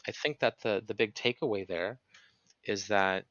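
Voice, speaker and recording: Man, podcast guest, forceful bright tone, earnest analytical explainer, reflective conversation, dry close-miked sound, slightly dull audio. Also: lowish voice, fairly even intonation, moderate pace, dry recording.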